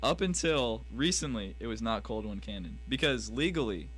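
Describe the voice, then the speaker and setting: A man's voice: mid-range voice, lively intonation, moderate pace, fairly bright tone, husky. Early 20s guy, normal mid voice, just chatting on college radio. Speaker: student radio show co-host. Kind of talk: casual chat.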